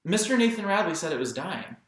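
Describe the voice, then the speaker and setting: An earnest boy from a storybook, as played by a man, polite and moody. His delivery is bright and halting, pitched up in moments of urgency. The room rings heavily with echo. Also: sing-song. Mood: polite, insistent. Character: Jem, earnest boy of about twelve, polite, moody, Southern. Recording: very echoey room.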